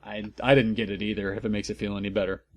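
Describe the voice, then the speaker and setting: A man's voice: fairly low pitch, flat delivery, no room sound. A man narrating a story aloud as a theatrical comedic reading, with mocking asides. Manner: theatrical, deliberately reproducing misspellings. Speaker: narrator and host reading fan fiction aloud.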